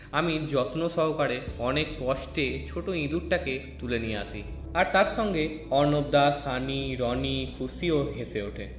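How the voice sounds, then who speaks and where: A man reads a scripted first-person story as its narrator. His voice is medium in pitch and rings with echo and long reverb. Faint music runs underneath.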